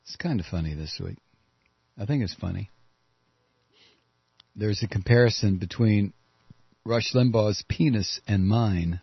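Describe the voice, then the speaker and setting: A man's voice: lowish voice, a little slow, pauses often, husky, dry recording, dull audio. Blunt, combative male radio host. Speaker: radio talk show host. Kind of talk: political call-in radio talk.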